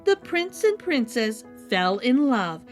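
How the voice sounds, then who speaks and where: A woman's voice: on the high side, slow, soft background music. A woman narrating a children's story expressively, as a read-aloud host with a playful manner. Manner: animated, with playful asides.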